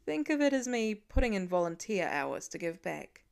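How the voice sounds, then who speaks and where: A woman voicing a warm, wry, reassuring father in a storybook reading, talking gently. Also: mid-range voice, sing-song, normal speed, gravelly, clean tone.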